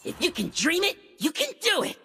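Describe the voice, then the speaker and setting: A man voicing an excitable, childlike little dragon in a video game, playful and bubbly, with a squeaky cartoon voice. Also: fairly quick, heavily acted. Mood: eager, playful. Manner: bubbly, exclamatory, wide-eyed. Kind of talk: animated video game character lines. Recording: music behind the voice.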